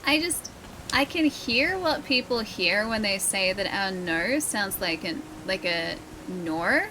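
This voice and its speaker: A woman's voice: medium pitch, expressive, slow, pushed and bright, slight background noise, poor audio. A woman with a mild Australian accent and a slightly nasal voice, chatting casually and laughing often while she plays and streams a game. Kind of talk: casual gameplay commentary.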